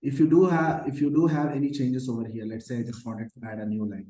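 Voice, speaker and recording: A hoarse man teaching a live online coding class, explaining patiently with many fillers like "okay" and "uh". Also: mid-range voice, little pitch movement, quick pace, mellow. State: hoarse from shouting. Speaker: programming instructor. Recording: roomy echo.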